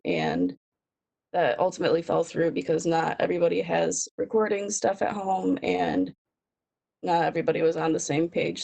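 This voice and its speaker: A young adult woman giving a student slide presentation in a creaky, gravelly voice. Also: on the low side, warm tone.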